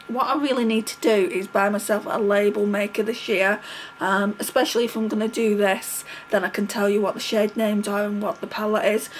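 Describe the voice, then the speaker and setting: A woman's voice: mid-range voice, a little hiss or hum, rough recording. A middle-aged woman vlogging casually while doing her makeup, chatty and rambling, with a stuffy nose from a cold. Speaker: beauty vlogger.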